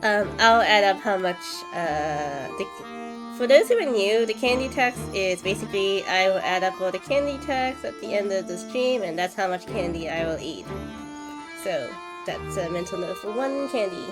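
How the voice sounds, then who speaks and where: A woman's voice: medium pitch, lively intonation, normal speed, over music, rough recording. A woman live streamer giving casual game commentary, often hesitating as she thinks aloud.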